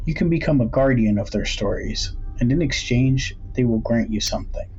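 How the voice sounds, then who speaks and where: A man voicing an enigmatic, knowing curiosity shop owner who speaks in a measured, cryptic way. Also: mid-range voice, darkish tone, dull audio, slight background noise, lightly acted. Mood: solemn offering. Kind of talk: audiobook character dialogue.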